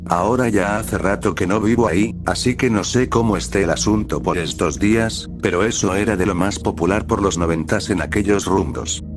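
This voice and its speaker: A man, reading first-person scary stories as a scripted narration for a horror channel. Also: lowish voice.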